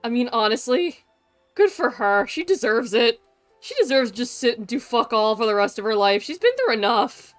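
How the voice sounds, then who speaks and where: A woman's voice: a little high, sing-song, normal speed, no echo, faint music behind. A woman livestreaming a game with casual, blunt, sweary and dramatic commentary. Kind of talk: casual reactive gameplay commentary.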